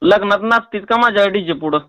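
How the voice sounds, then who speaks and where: A man with a noticeably high voice and a rural Marathi accent chats on a prank phone call. He coaxes and teases persistently, and the sound is dry and close. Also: brisk, sharp tone, smooth, no echo. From Tuljapur, Maharashtra, India. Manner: playful, coaxing, pestering. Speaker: prank caller.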